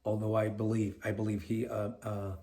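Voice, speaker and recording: A man from Venezuela with a Venezuelan Spanish accent, co-hosting a community podcast in an earnest, halting way with many restarts. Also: lowish voice, level tone, dark tone. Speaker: podcast co-host. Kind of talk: casual community podcast chat.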